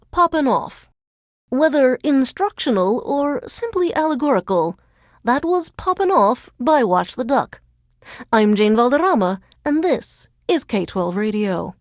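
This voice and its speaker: A woman playing a sardonic female radio DJ in a video game, introducing songs in a deadpan, measured, formal announcer's delivery. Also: sits high, no room sound, studio quality. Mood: poised, wry. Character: dry, sardonic female radio DJ under alien occupation.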